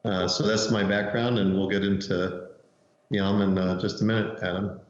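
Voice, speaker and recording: A man's voice: sits low, little pitch movement, normal speed. A middle-aged man speaking informally as an expert panelist, heard over a roomy, dull remote call. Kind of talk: informal self-introduction on a panel.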